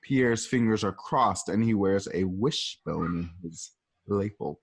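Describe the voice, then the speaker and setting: A man casually narrating the stage directions of an amateur radio play, chatty and animated. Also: fairly low pitch, measured pace, vocal fry, a little hiss or hum.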